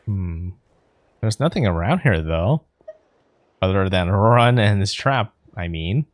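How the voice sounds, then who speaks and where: A woman's voice: rumbling low, expressive, measured pace, no room sound. A woman streamer giving casual let's play commentary in short, hesitant, offhand asides. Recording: game music behind the voice.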